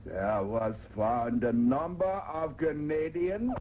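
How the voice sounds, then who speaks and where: Slow, menacing interrogator. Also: male voice, fairly high pitch, fairly even intonation, darkish tone. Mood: smug, threatening. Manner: theatrical, drawn-out, coaxing threats. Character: menacing, suave male interrogator with mock-polite patience. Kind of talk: satirical radio soap opera acting. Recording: muffled, noisy old radio tape.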